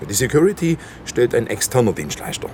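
An actor playing an evasive, officious slaughterhouse manager in a naturalistic radio drama, talking in formal, defensive corporate phrases. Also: male voice, medium pitch, sing-song, fairly quick, darkish tone, slight background noise. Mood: defensive, firm.

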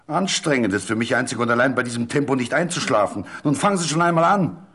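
A man voicing an agitated, spitefully triumphant chess player in a radio drama, impatient, loud and rudely mocking. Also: medium pitch, expressive, fast talker, husky, pitched above the performer's own voice. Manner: loud, rude, mocking outbursts.

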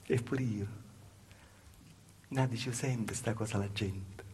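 A man's voice: fairly low pitch, steady tone, takes it slowly, a little mellow, slight background noise. A man playing a Calabrian village mother, telling a folksy, repetitive, plaintive theatre monologue in dialect. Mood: plaintive, confiding.

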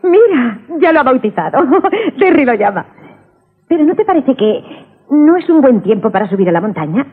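A woman's voice: high voice, normal speed, breathy edge, full character voice. An actress playing an affectionate but cautious, mildly scolding mother with a Castilian accent in a Spanish radio drama. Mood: amused, doubtful. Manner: expressive, motherly. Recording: thin phone-like 1980s radio recording.

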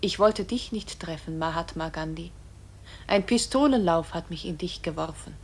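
A woman voicing a remorseful iron bullet in a radio play, soft, tender and solemn, pleading for forgiveness. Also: on the low side, moderate pace, breathy edge. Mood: apologetic.

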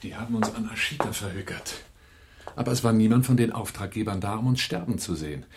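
A man's voice: lowish voice, quick pace, background noise, close to an everyday voice. An actor playing a commanding, terse, hard-boiled armed man on the run in a German radio drama, both narrating and giving orders. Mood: grim, controlled.

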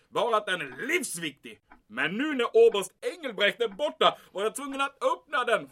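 A man acting out an anxious commandant in a radio-style serial, blustering and guarded about his secret. His voice sits high and swings widely, with a bright, forceful edge. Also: full character voice. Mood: agitated, confiding. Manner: emphatic, peppering speech with German exclamations. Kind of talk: audio drama acting.

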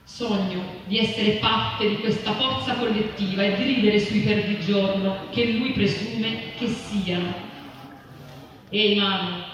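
Distant literary reader in a reverberant hall. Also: medium pitch. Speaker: reader at a public literary reading. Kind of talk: expressive reading of literary prose poetry aloud. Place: live reading at a festival venue. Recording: echoey noisy hall, distant mic.

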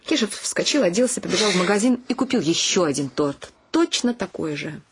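A woman's voice: medium pitch, lively intonation, a little fast, breathy edge. An actress playing a wry, dreamy middle-aged wife and mother, narrating her household life in a radio play. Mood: wry, wistful. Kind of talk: first-person radio drama narration. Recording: music behind the voice.

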